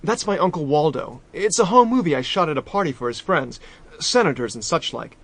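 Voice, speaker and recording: An actor playing a brash, excitable young American diplomat with slangy speech. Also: male voice, a little high, brisk, no room sound. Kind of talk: radio drama acting.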